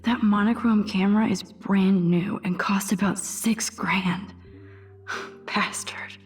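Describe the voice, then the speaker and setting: A young woman with an American accent voicing an introspective, wry teenage student in a video game, musing to herself in a hushed voice. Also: medium pitch, fairly even intonation, takes it slowly, whispered, faint music behind, lightly acted. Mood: troubled, disgusted. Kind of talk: game character thinking aloud.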